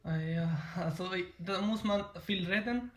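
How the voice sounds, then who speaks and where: A man's voice: sits high, measured pace, fairly bright tone, reverberant, a little hiss or hum. A nineteen-year-old man from Afghanistan whose first language is Pashto, answering interview questions hesitantly with many fillers. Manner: hesitant, frequent fillers and restarts. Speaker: interviewee, German learner. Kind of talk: interview about learning German.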